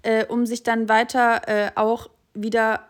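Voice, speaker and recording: A woman co-hosting a podcast in casual chat, talking emphatically with fillers and quick bursts. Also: a little high, flat delivery. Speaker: podcast co-host.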